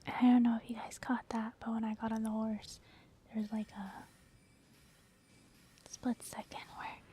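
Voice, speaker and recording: A woman giving soft-spoken ASMR game commentary in a hushed, breathy near-whisper, explaining things gently and casually. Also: a little high. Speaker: ASMR gaming video host.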